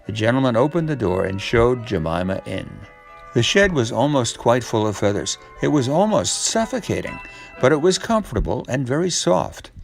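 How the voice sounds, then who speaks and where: Animated male storyteller with a creaky voice. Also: medium pitch. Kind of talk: scripted children's storybook narration.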